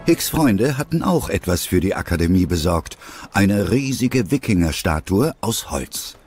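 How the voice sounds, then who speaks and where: A man's voice: low voice, very animated, unhurried, a little mellow, soft background music. A man narrating an audio drama from a script.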